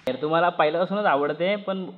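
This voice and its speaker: A man's voice: on the high side, a little fast, slight background noise. Muffled young Maharashtrian man, coaxing.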